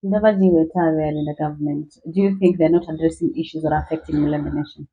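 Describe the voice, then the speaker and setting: A man's voice: a little high, steady tone, quick pace, breathy edge, phone-quality sound. A man with a Kenyan accent putting questions as an interviewer in a political interview.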